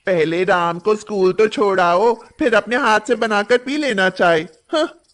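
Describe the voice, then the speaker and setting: A woman's voice: medium pitch, unhurried, natural voice. A woman playing a bossy, cruel young daughter-in-law in a moral story cartoon, with a nagging, sarcastic, haughty manner.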